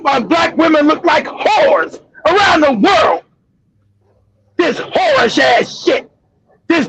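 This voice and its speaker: A man hosting a show, delivering a loud, profane, mocking commentary rant. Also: extremely high pitch, creaky, poor audio. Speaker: show host.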